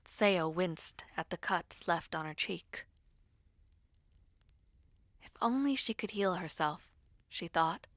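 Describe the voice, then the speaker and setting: Slow, low-voiced woman narrating a story. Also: lively intonation, a bit bright, no room sound. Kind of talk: scripted dramatic audio story narration.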